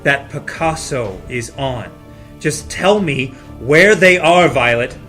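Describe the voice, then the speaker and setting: A man playing a soft-spoken double agent posing as a caring friend, in a dramatic script reading. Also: medium pitch, lively intonation, slow pace, faint music behind. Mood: coldly coaxing. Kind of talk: dramatic script table read.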